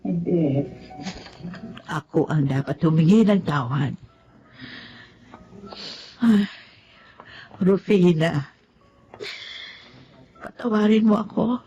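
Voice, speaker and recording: An actress playing an older, remorseful woman in mourning in an emotional radio drama, speaking in a halting, heartfelt way. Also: on the low side, warm tone, highs cut off, slight background noise. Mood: remorseful, pleading. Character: grieving, remorseful old woman mourning her longtime rival. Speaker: radio drama lead actor. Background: music.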